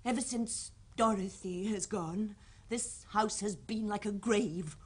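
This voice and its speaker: An actress with an English accent playing a lonely, restless daughter of a manor in a radio drama. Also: female voice, mid-range voice, slow, slightly rough. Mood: plaintive. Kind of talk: 1970s radio drama acting. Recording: noisy old radio play tape.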